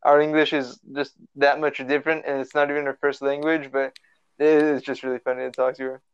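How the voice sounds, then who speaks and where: A man's voice: medium pitch, little pitch movement, a little fast, smooth. A young man from the Los Angeles area, a podcast guest chatting casually over a remote call, rambling with lots of fillers and restarts. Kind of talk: casual chat. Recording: lo-fi online call audio.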